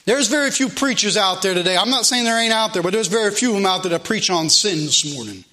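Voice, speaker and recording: Male preacher, American accent, extremely high-pitched, sharp and intense delivery, fervent sermon style with emphatic repetition. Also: expressive, quick pace. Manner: emphatic, exhorting, repeats phrases for emphasis. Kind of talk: fervent sermon with scripture readings.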